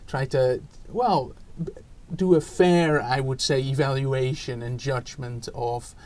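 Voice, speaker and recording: A man's voice: medium pitch, fairly even intonation, takes it slowly, darkish tone. A man in his forties from the Netherlands with a Dutch accent, answering interview questions in a thoughtful way, with many fillers and restarts. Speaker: education researcher guest. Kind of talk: podcast interview.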